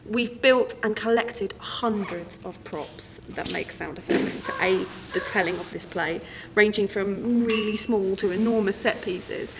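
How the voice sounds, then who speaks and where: A woman's voice: medium pitch, measured pace, background noise. A woman from a theatre creative team explaining a production in a documentary interview. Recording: music playing behind the voice. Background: background music.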